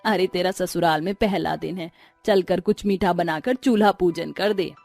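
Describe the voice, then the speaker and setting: A woman's voice: on the low side, moderate pace, creaky, a little mellow. Cartoon role: elderly mother-in-law played by a woman, exasperated, nagging, sarcastic, with music underneath. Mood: reproachful. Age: older. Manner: scolding, weary, sarcastic. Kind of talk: Hindi cartoon story character acting.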